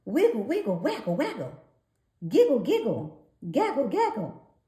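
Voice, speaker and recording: A woman voicing an impulsive, excitable young boy frog from a children's storybook, blurting out short exclamations. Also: high voice, a little slow, voice pushed up, full character voice. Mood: gleeful singsong. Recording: echoey room.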